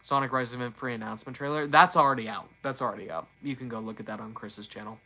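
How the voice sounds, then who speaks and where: A man chatting loosely to his livestream in a bright, forward voice, his pitch sitting right in the middle. He trips over his words and starts sentences again and again, repeating himself as he goes. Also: steady tone. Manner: stumbles and repeats words, quick restarts. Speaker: livestream host. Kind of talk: casual livestream chat.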